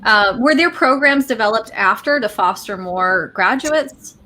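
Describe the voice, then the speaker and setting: A woman hosting an interview, eager and encouraging, often pausing on fillers as she frames her questions. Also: on the high side, expressive, pushed and bright. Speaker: interview host. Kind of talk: casual interview chat.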